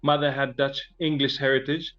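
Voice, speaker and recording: A man's voice: mid-range voice, flat delivery, moderate pace, highs cut off. A man from Zimbabwe, an interview guest, telling his personal story with frequent fillers and restarts.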